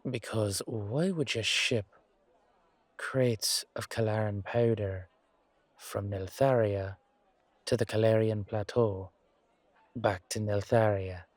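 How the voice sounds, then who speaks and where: A man playing a weary, down-to-earth knight guardsman in a fantasy audio drama, musing colloquially to his partner with hesitant pauses. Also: on the low side, steady tone, no echo. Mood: thoughtful, suspicious. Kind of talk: roleplay audio drama monologue addressed to a partner.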